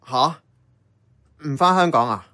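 A man's voice: medium pitch, expressive, slow, bright, forceful tone. An actor with a Hong Kong Cantonese accent playing a casual, blunt young man in radio drama dialogue. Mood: puzzled, defensive.

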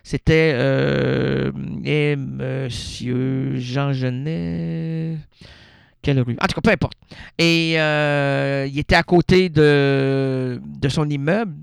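Man, Québécois accent, radio host, rambling absurd monologue, gravelly, dry studio mic. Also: medium pitch, steady tone, slow pace, no echo. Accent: Québécois French. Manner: playful, rambling, talks to himself.

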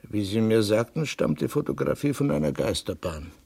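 A world-weary detective in an old German radio drama. His voice is low, gravelly and husky. He grumbles curtly. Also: very animated, normal speed, darkish tone, heavily acted. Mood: curt, sceptical. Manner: grumbling, curt. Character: grumpy, world-weary police inspector sent to the provinces. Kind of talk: 1960s German radio play acting.

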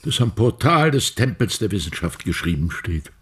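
An actor playing an elderly, philosophical and evasive science professor in a radio play, husky and deliberate in delivery. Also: male voice, lowish voice, sing-song, fairly bright tone, full character voice. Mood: philosophical, pontificating. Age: older.

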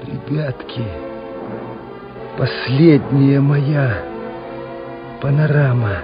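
Music sits behind a muffled radio drama recording. a wounded young male officer, speaking very slowly and haltingly, anguished but proud. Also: medium pitch, fairly even intonation. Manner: slow, halting, emotional.

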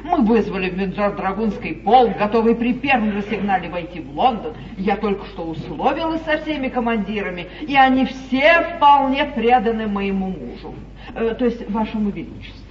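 An old, distant theatre recording with a dull, echoing sound. An actress plays a domineering, shrewd duchess in a stage comedy. Her delivery is imperious and emphatic, with very mobile pitch. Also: expressive, normal speed, a little hiss or hum, lightly acted. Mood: smooth, courtly. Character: domineering, shrewd duchess at an English royal court.

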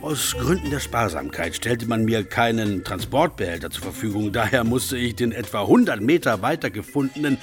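A man's voice: medium pitch, animated, moderate pace, few pauses, faint music behind, natural voice. An actor playing an effusive, gallant male railway engineer in a satirical radio play, gushing in florid, formally polite phrases. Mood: earnest reminiscing.

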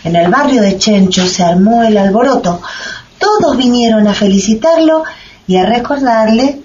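A woman's voice: fairly high pitch, animated, normal speed, darkish tone, lightly acted. A woman playing the storytelling narrator of a satirical amateur radio drama. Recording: dull lo-fi recording, clear background noise.

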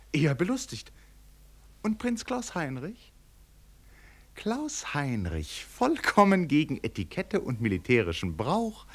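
A man's voice: a little high, fairly bright tone, heavily acted. A man playing a flustered eyewitness narrator in a radio play, theatrically exclaiming and repeating himself like a live commentator. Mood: wondering. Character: flustered, excitable male eyewitness narrator at a parade.

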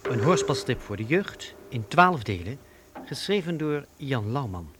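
A man's voice: medium pitch, sing-song, measured pace, noisy background. A man giving a scripted announcement as the announcer of a children's radio play.

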